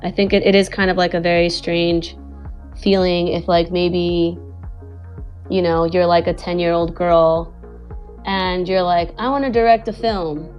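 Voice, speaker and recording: A woman's voice: on the low side, fairly even intonation, measured pace, highs cut off, soft background music. A woman from Venezuela, a guest animator and director, talking casually and rambling with lots of "like" and "you know". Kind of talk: casual chat in an online audio space.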